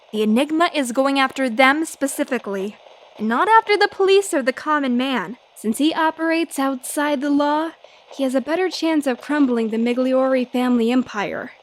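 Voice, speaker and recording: A woman's voice: high voice, lightly acted. An actress playing a feisty, easily flustered young woman reporter in a 1940s-style radio drama. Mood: earnest, firm. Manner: brash, quick to get flustered. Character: feisty young female newspaper reporter, flustered but defiant. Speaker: reporter filling in as radio host.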